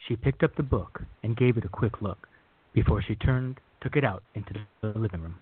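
A man's voice: fairly low pitch, fairly quick, slightly rough. A man reading scripted third-person narration for a radio drama. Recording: thin, phone-like band-limited audio.

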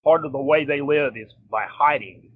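A man's voice: a little high, moderate pace. Matter-of-fact male host, lo-fi audio. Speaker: podcast host. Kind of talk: casual interview conversation. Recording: dull lo-fi audio with faint noise.

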